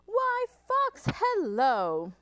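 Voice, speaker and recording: Woman voicing a high, cunning little storybook mouse. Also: wildly swooping pitch, drawn-out words, fairly bright tone, no room sound, full character voice. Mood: cheery. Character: small cunning boastful male mouse.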